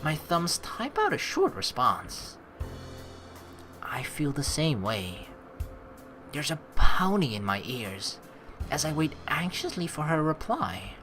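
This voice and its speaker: A woman doing gameplay commentary, reading story text aloud expressively and reacting to it in an animated way. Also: low-pitched, slow, pauses often. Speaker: let's play host reading a visual novel aloud. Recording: game music behind the voice. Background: game music.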